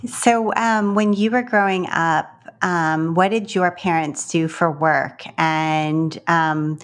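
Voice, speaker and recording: A woman hosting a podcast, reading an introduction and asking the guest clear questions. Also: lowish voice, fairly even intonation, takes it slowly. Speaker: podcast host. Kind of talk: scripted intro then interview questions.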